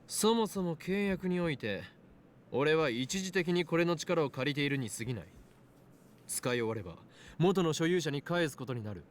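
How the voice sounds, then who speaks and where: A man's voice: on the high side, animated, quick pace, a little hiss or hum, lightly acted. A man playing a grandiose young self-styled mad scientist in an anime visual novel, pompous and theatrical in delivery. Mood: grandiose, insistent. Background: game music.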